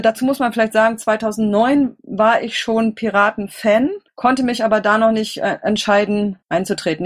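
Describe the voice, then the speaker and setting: A middle-aged German woman answering interview questions conversationally, in a halting, rambling way with self-corrections. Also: medium pitch. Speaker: interviewee, political candidate.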